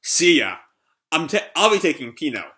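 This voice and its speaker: A man's voice: a little high, very animated, normal speed, sharp tone. A man voicing a cocky young thief from a comic, flippant and taunting. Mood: breezy.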